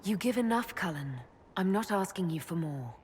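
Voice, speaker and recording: An actress playing a composed elf mage leader in a fantasy video game, merciful and supportive, with measured, assured delivery. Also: female voice, on the low side, lively intonation, darkish tone, slight background noise, close to an everyday voice. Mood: gentle, reassuring. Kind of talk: dramatic video game acting.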